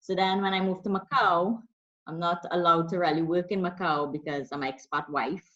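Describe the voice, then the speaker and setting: A woman's voice: on the low side, fairly even intonation, normal speed, fairly bright tone, dull audio. A woman from Trinidad and Tobago, a guest in a casual interview, talking in a friendly, chatty way.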